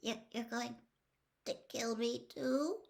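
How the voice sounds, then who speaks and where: A woman's voice: fairly high pitch, fairly even intonation, slow pace, vocal fry, a little mellow, voice pushed up. A woman voicing a fearful, sulky, servile male henchman in a storybook, panicky and stammering. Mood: terrified. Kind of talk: storybook character read aloud.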